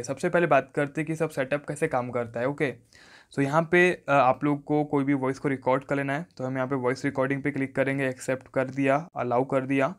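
A man giving an instructional phone tutorial voice-over, often repeating stock phrases. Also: medium pitch. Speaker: tech tutorial host.

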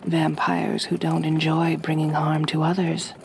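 Woman playing a slow, genteel vampire mistress. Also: deep voice, completely flat delivery, gravelly, slight background noise. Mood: calm, coaxing. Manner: gentle, measured, genteel, reassuring. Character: kind, possessive aristocratic female vampire mistress. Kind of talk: ASMR roleplay, soft soothing delivery.

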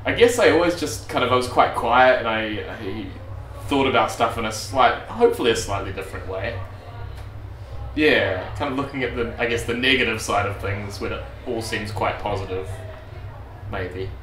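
Dry deadpan New Zealand man. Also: fairly low pitch, moderate pace, reverberant, far from the mic, a little hiss or hum, rough recording. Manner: deadpan self-deprecating, dry dark humor. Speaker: stand-up comedian. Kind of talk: stand-up set and casual interview. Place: brick-walled comedy club cellar. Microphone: handheld mic. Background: crowd laughter.

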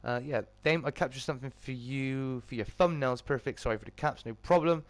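Slightly croaky British man chatting on stream. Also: medium pitch, fairly quick, no room sound. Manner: chummy greetings to viewers. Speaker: live streamer and host. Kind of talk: casual livestream chat with viewers.

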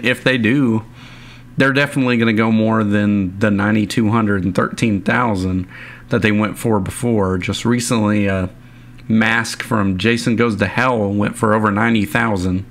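A man's voice: fairly low pitch, a bit bright. A young man reading a scripted voice-over for his online video in a plain, informal way. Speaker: YouTube video narrator.

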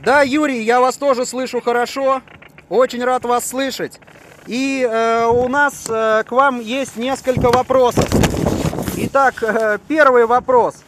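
A middle-aged man with a very high-pitched voice works as a radio operator. He speaks in courteous, deliberate procedure, outdoors with clear noise around him. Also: steady tone, fairly bright tone. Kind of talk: formal radio exchange, asking questions. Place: outdoors on a city rooftop.

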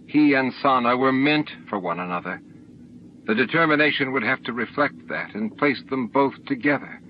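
A man's voice: mid-range voice, normal speed. A man narrating a novel as an audiobook reader, reading from a script. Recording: dull, faintly noisy recording.

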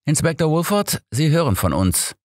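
A man voicing a knowing old male detective, curt, assured and gruff, in an audiobook. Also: fairly high pitch, sing-song, normal speed, no echo, clean studio sound, pitched above the performer's own voice. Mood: brisk, assured. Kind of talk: audiobook character dialogue.

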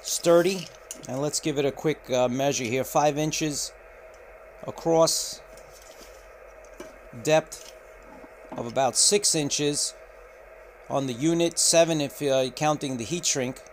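Man talking slowly with many fillers. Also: on the high side, slight background noise. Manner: unhurried, many filler pauses. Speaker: radio reviewer. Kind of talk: unboxing walkthrough. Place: indoor room at a table.

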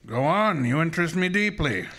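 A man playing a pompous, shrewd detective in a radio drama, with a formal, measured manner. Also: on the high side, sing-song, sharp tone, exaggerated voice. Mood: probing, formal.

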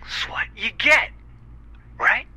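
An actor playing an angry, mocking voice from an old radio in a game, sarcastic and theatrical. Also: male voice, slow pace, pushed and bright.

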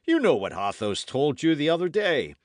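A man voicing a boastful, loud musketeer in an audiobook, theatrical and full of exclamations. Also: fairly high pitch, very animated, normal speed, no room sound, studio quality, pitched above the performer's own voice. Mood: indignant, scolding. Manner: theatrical, exclamatory, swooping emphasis. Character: boisterous, boastful swaggering musketeer, loud and self-satisfied.

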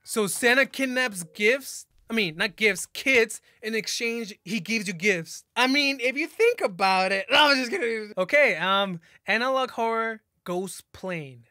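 A man's voice: high-pitched, animated, unhurried, few pauses, bright, forceful tone, no echo, studio quality. A man in his twenties with an American accent giving casual, slangy, exclaiming reaction commentary. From California, United States. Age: 20s. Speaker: reaction video host. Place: small indoor room at a gaming setup. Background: music.

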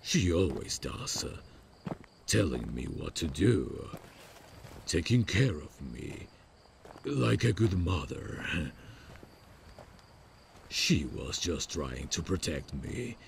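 Man acting as smitten slasher killer, extremely low pitch, swooping intonation, gravelly, childlike, halting, shy, horror-parody ASMR roleplay, close microphone. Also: sing-song, a little hiss or hum, heavily acted. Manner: halting, giggly, shy, slow. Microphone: close dry microphone.

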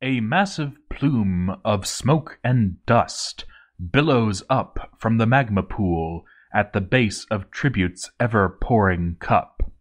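A man's voice: lowish voice, lively intonation, slow pace. A man reading a written game playthrough story aloud as a dramatic scripted narration.

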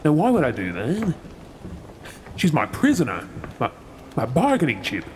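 Aussie guy doing a bossy menacing pirate captain for an audio roleplay, pitch all over the place, some ship ambience noise behind. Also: wildly swooping pitch, normal speed. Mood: conflicted, brooding. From Australia. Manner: commanding, swaggering, sardonic. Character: gruff, menacing male pirate captain, secretly soft-hearted. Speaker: ASMR role-play performer. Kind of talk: dramatic audio role play. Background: ship ambience sound effects.

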